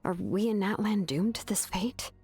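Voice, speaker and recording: A woman playing a serious, dutiful young female warrior in a video game, earnest and measured in her delivery. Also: mid-range voice, lively intonation, a little mellow, breathy edge. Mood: grave, weary. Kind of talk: dramatic video game voice acting.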